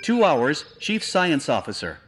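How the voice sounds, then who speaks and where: Reverberant station computer, swooping pitch. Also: male voice, huge pitch swings, a little slow, a bit bright, heavily acted. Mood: formal, obedient. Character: dutiful station computer intelligence, formal and obedient. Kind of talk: audio fiction acting. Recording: long reverberant hall echo, music behind.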